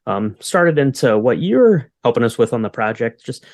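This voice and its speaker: A man with a mid-pitched voice hosts a podcast interview. He talks casually and conversationally into a close mic, with a very dry sound. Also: sing-song, normal speed, gravelly, darkish tone, dry recording.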